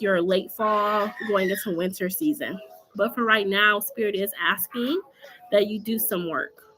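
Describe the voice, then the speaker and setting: A woman giving live tarot readings in a casual, chatty way, her voice scratchy from allergies, often checking in with "okay?" and laughing. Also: medium pitch.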